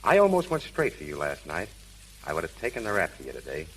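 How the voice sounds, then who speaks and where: An actor playing a bitter, tough but lovestruck crook in 1930s American radio drama. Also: male voice, low voice, wildly swooping pitch, fast, a bit bright, a little hiss or hum, exaggerated voice, poor audio. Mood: bitter, resigned. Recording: humming, sizzling old 1930s radio recording.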